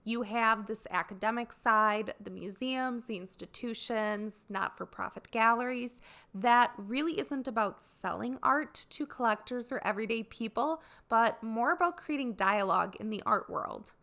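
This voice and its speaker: Woman podcast host, raspy from a cold. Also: fairly high pitch, moderate pace. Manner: earnest, explanatory, steady. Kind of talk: solo podcast talk to artists.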